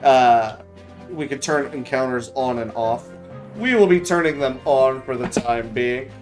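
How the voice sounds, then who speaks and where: A man's voice: mid-range voice, a little slow. A man giving casual, self-deprecating gaming commentary with a co-host, speaking with a speech impediment. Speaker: let's play host reading game dialogue aloud. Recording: game music behind the voice. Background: video game music.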